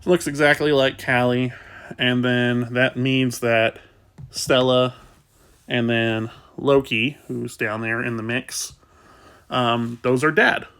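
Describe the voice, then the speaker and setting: A man with a stuffed-up, sniffly voice, talking casually and softly about rescuing kittens, with many pauses and fillers. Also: medium pitch, vocal fry. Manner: soft, rambling, with fillers. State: congested, sniffling. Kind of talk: casual vlog talk.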